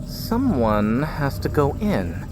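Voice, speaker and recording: Casting: boastful game scientist, mid-pitched, lively inflection, cocky but a little awkward. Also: male voice, slow, background noise. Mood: explaining, cocky. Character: self-assured male scientist, brainy and a bit boastful. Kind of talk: video game cutscene acting.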